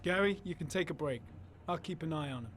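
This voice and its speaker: A determined woman cop in a video game drama, secretive and pressing. Her lines are hushed and urgent, as if risking her career. The audio has clear background noise. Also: deep voice, lively intonation, fairly quick. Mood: casual cover. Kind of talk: video game cinematic acting.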